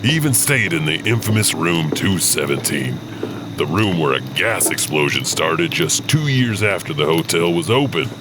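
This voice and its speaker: A man's voice: low-pitched, big pitch swings, moderate pace, noisy background. A man playing a folksy, rambling janitor who hesitantly hosts a spooky anthology of tales. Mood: sheepish, welcoming. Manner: chatty, digressive, hesitant. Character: rambling, folksy janitor storyteller at old hotel. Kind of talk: hosted anthology narration.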